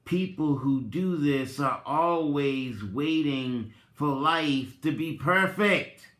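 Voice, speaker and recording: Deliberate, slow-paced man in an echoey room. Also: on the high side, animated, a bit bright. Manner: deliberate, emphatic, with pointed rhetorical questions. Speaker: host giving a reading and commentary. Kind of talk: dramatic reading and reflective spoken commentary. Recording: roomy, echoing sound.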